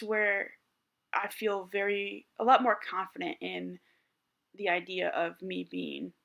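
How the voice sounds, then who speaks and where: A man in his thirties with a higher-pitched voice speaks candidly to the camera. He thinks out loud in a reflective, personal way, with plenty of restarts and "like"s along the way. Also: creaky. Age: 30s. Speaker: vlogger.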